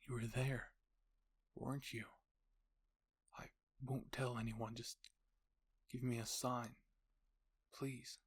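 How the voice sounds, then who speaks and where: A man voicing a grave, reserved older military instructor in a story, earnest and deliberate. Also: medium pitch, level tone, slightly rough. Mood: pleading.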